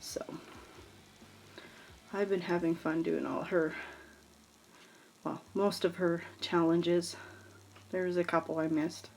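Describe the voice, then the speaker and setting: A woman's voice: lowish voice, flat delivery, slow, pauses often, darkish tone, rough recording. A woman with a congested, nasal voice chatting casually through a scrapbooking tutorial, rambling with fillers and small laughs. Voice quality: stuffed-up, nasal. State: congested from allergies. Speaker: scrapbooking vlogger. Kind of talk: casual craft tutorial chat.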